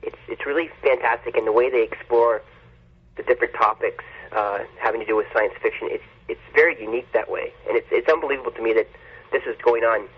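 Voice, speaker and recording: A man's voice: mid-range voice, fast, husky, narrow, tinny audio. A man chatting casually as a podcaster being interviewed. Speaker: podcaster guest.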